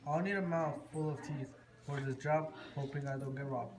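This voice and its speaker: A man's voice: on the high side, steady tone, normal speed, a crowd in the background, close to an everyday voice. A man playing a lazy, boastful wanderer in an amateur rhyming puppet show. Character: Marco, a lazy, boastful wandering male thinker. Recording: noisy amateur recording with clicks.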